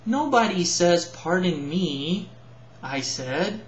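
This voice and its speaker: A man voicing a brisk, impatient, scowling adult female fairy from a storybook. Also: sits high, expressive, a little hiss or hum. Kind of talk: storybook character voice. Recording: roomy echo, slightly dull home recording.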